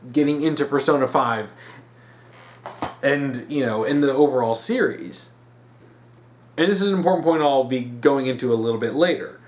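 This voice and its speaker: A man giving emphatic opinion commentary as a video host, repeating words for stress. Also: mid-range voice.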